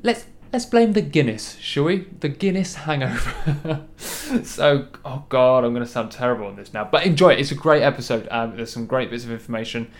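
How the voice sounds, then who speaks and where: A man hosting a casual podcast, chatty and a little croaky from a hangover, with lots of ums. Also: medium pitch, lively intonation, normal speed. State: hungover, a bit croaky. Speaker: podcast host. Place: large room in a hostel. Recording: echoey large room.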